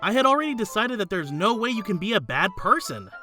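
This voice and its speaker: A woman voicing an earnest, kindly high school student who narrates in the first person in a visual novel fan dub. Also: sing-song, fast talker, bright, forceful tone, soft background music. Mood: earnest, reassuring.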